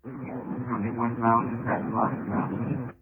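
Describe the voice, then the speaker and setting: A man's voice: mid-range voice, little pitch movement, very slow, slightly rough. A male police officer in the field giving informal updates over a police radio transmission. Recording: tinny police radio with faint noise.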